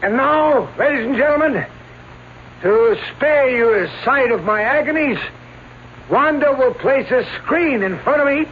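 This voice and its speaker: A thin old radio recording with faint noise carries a showy escape artist. He speaks in a very high, declamatory voice, florid and proud, playing to his audience. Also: lively intonation, a little slow, narrow, tinny audio. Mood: instructing, showy. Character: theatrical male escape artist, proud, florid stage showman. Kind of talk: radio drama acting.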